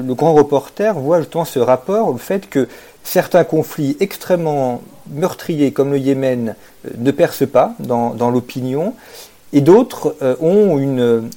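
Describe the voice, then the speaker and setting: A man's voice: mid-range voice, warm tone. A man asking long, carefully built interview questions in a hesitant, conversational way.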